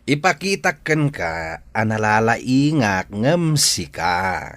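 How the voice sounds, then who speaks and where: Brash Ilocano troublemaker acted by a man, swooping pitch. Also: very animated, takes it slowly, a bit bright, dry recording, heavily acted. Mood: cocky. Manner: taunting, pushy. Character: brash, pushy male village troublemaker. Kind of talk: Ilocano radio drama acting.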